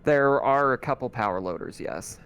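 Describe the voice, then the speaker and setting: A man acting as game master, improvising narration for a tabletop roleplaying game in a halting, conversational way. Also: medium pitch, lively intonation, fairly bright tone, faint music behind.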